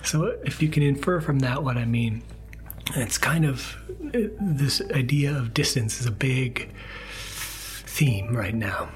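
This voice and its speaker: Soft, half-whispering man over music. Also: mid-range voice, slow. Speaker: podcast episode producer introducing the show. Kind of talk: soft, soothing scripted introduction to a sleep podcast. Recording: music bed behind the voice.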